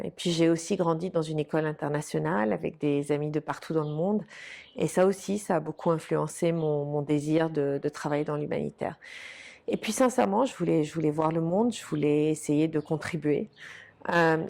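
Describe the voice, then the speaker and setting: Woman, forties, low and mellow, reflective interview guest who hesitates and restarts often. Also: gravelly. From Lebanese, raised in Canada. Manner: hesitant, many "euh" fillers and restarts. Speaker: humanitarian official, interview guest.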